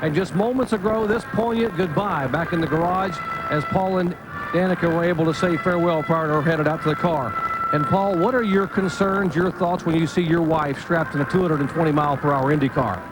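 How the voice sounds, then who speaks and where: A man's voice: a little high, fairly even intonation, a little fast, background noise. A man reporting live from the pits in a polished way. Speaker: pit reporter.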